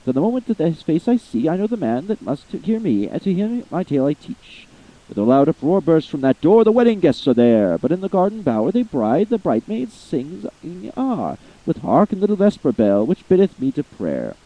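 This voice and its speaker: A man's voice: fairly high pitch, sing-song, normal speed. Male amateur reader, theatrical verse delivery, wide-ranging intonation; muffled lo-fi sound. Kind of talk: dramatic poetry reading.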